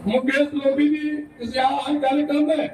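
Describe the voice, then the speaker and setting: Very high-pitched male stage announcer, echoey. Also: level tone, moderate pace. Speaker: event announcer. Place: public village ceremony, over loudspeakers. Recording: echoey distant PA, faint noise.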